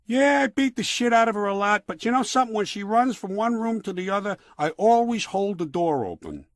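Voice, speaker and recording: Man putting on a loud, high-pitched boorish braggart. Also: very animated, fairly quick, no room sound. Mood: smug bragging. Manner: crude, self-satisfied bragging. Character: boorish, boastful working-class American man.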